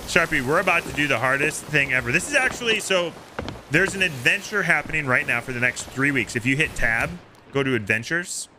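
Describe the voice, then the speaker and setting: Animated man streaming with a playful, high-pitched delivery. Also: fairly quick, noisy background. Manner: animated, playful, theatrical storytelling. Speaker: game streamer and host. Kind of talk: casual live-stream chat while gaming. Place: small home streaming room. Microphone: close streaming microphone.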